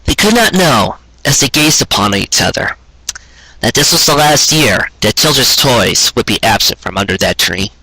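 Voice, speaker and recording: Husky man reading a romantic story. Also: medium pitch, sing-song, moderate pace, bright, forceful tone, dry recording, poor audio. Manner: earnest, sentimental storytelling. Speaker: narrator reading fan fiction. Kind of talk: scripted story narration.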